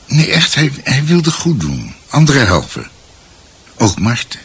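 An actor playing a grave man who earnestly and deliberately recounts a family's past in a radio drama. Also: medium pitch, huge pitch swings, fairly bright tone, husky, full character voice. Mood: earnest, regretful.

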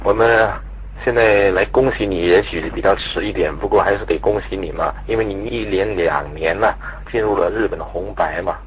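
A man's voice: low voice, normal speed. A man asking questions in a conversational radio interview, polite and deferential in his phrasing. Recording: faintly noisy radio interview recording.

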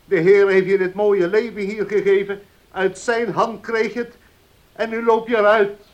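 A man acting a stern old uncle in a radio drama, with a notably high-pitched voice. He is pious, possessive and wounded, and speaks with solemn, commanding emphasis. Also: flat delivery, moderate pace, highs cut off, lightly acted. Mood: indignant, commanding. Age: older. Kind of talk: Dutch radio play acting.

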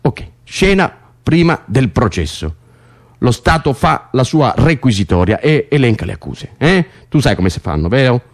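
An actor playing a bossy, coaxing prisoner in a naturalistic radio drama, insistent and teasing, often repeating himself. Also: male voice, mid-range voice, big pitch swings, moderate pace. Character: bossy, coaxing, excitable male prisoner rehearsing a play.

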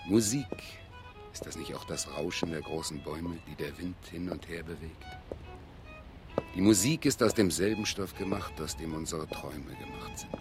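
An earnest, dreamy male researcher in a radio play. His voice sits fairly low and swings widely in pitch, expressive and easily flustered, with music playing behind him. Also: moderate pace. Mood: rapt, poetic.

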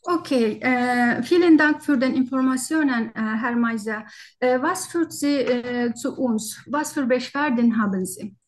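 Hesitant, high-voiced woman with foreign-accented German. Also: unhurried. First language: not German. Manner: hesitant, searching for words, formal and polite. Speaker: doctor taking a patient history in exam practice. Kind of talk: halting structured medical interview in role-play.

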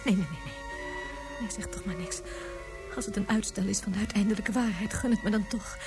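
An actress in a Dutch radio drama playing an anguished, tender mother of a missing baby, speaking in an emotional, pleading, soft-toned way. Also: medium pitch, fairly quick, over music, lightly acted.

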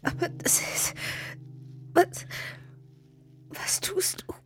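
A woman's voice: extremely slow, a bit bright, soft background music. A woman playing a guarded, frightened young woman in a radio drama, speaking hesitantly in fragments. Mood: frightened, shocked. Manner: hesitant, fragmented, breathy whispering. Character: guarded young woman leaving lover, turning terrified. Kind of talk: intimate radio drama acting.

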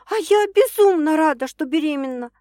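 A woman's voice: very high-pitched, unhurried, no room sound, clean studio sound, voice pushed up. A woman voicing a feisty, emotional young woman in love in a romance audiobook, with a plaintive, indignant edge. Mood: elated.

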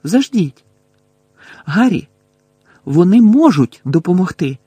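Guy doing an anxious teen witch girl, urgent n stubborn, pleading, bit creaky, audiobook. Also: on the high side, normal speed, a little mellow, no room sound.

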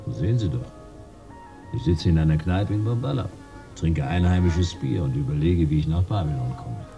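An actor playing a weary, sardonic private detective who narrates and talks in laconic, dry lines in a radio drama. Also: male voice, deep voice, lively intonation, normal speed, creaky, warm tone, lo-fi sound, soft background music. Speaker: narrator and lead character.

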